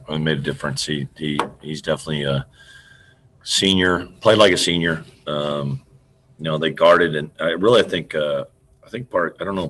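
A middle-aged man, a basketball coach, answering press questions over a video call, halting and trailing off quietly as he restarts sentences. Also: very deep voice, slightly rough. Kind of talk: postgame press conference answers. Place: indoor room in front of a team backdrop. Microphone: video call computer mic.

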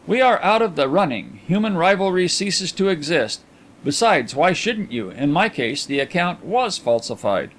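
A man voicing a genial, cultured gentleman in an audiobook, speaking in articulate, formal phrases with shrewd self-assurance. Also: a little high, very animated, moderate pace, a little hiss or hum, voice pushed up. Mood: urbane, genial. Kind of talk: audiobook character dialogue.

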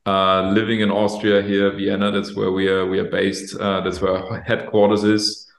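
A man's voice: sits low, monotone, normal speed, gravelly. A man from Germany with a German accent, in his late twenties or early thirties, answering interview questions in an easygoing way and often repeating words while he thinks, over a video call. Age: late 20s to early 30s. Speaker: guest, company executive. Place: office room with plain wall. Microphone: video call, webcam. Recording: roomy echo on a video call.

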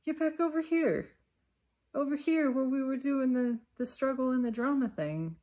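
A woman's voice: high-pitched, normal speed, mellow, pitched above the performer's own voice. A woman voicing an indignant, controlling ego, pleading and bossy as it demands its drama back.

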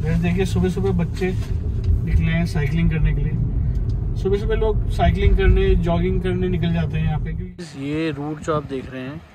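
A young man from India narrating a casual travel vlog to his viewers, chatty and enthusiastic, recorded on a phone in a car and outdoors. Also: a little high. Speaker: travel vlogger. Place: in a car, then outdoors by a river. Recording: outdoor phone audio with loud background noise.

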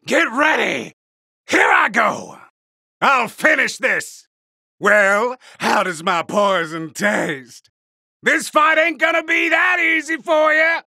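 A male actor playing a vicious, cocky wolf-man poison fighter in a video game, taunting in a harsh, gravelly voice. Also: very high-pitched, takes it slowly, dry recording, clean studio sound, heavily acted. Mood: cocky battle shouts. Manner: cocky, sneering, shouted battle taunts. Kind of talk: video game battle voice lines.

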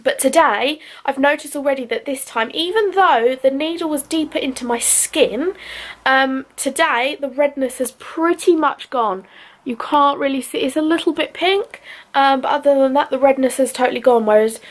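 A woman's voice: sits high, moderate pace. A woman in her twenties with a British accent, chatting casually in a beauty vlog from her bedroom, filming at arm's length with lots of ums. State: losing her voice, under the weather. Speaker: beauty vlogger. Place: bedroom at home, indoors. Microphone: handheld camera or phone at arm's length.

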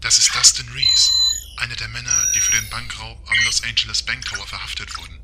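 A man playing a calm, polite talking car computer in an audio drama, formal and precise. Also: lowish voice, brisk, heavy background noise, natural voice. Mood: calm, informative. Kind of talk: German fan audio drama acting.

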